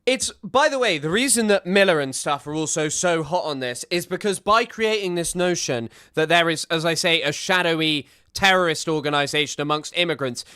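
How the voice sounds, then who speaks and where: A man's voice: fairly high pitch, bright, forceful tone, clean tone. A man giving sarcastic, rambling commentary while reacting to a clip, often restarting his sentences. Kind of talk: casual commentary.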